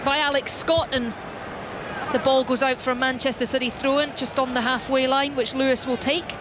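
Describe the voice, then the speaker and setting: Woman doing play-by-play footy commentary, fairly high voice, noisy background, gets shouty near goal. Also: fairly even intonation, moderate pace. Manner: rises to shouts at goalmouth action. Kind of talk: live football commentary.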